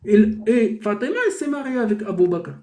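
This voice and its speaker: A man's voice: high voice, lively intonation, fairly quick, mellow, roomy sound. A man hosting a heated live debate, arguing his point with rhetorical questions that he answers himself. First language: Arabic. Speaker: debate host.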